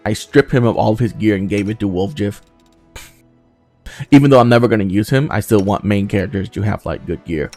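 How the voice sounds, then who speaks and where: Creaky-voiced man doing casual game commentary. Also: on the low side, brisk, darkish tone, faint music behind. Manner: thinking aloud, casually profane. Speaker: gaming video host.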